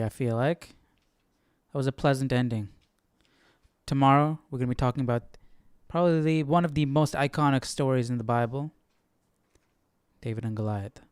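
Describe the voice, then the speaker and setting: Clear-voiced man chatting casually. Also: medium pitch, normal speed, long pauses, a little mellow, no echo, studio quality. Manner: conversational, musing aloud, seeks agreement. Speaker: Bible study livestream host. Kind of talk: casual reaction and commentary.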